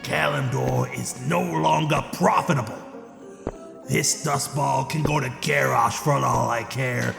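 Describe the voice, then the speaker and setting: Loud music and a big reverberant hall surround a greedy goblin trade prince. His voice is heavily gravelled and slow-rolling. He blusters in slangy wiseguy talk with a short temper, as a fantasy audio drama villain. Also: medium pitch. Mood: defiant, scheming. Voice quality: gravelly, creaky. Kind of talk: fan audio drama character acting.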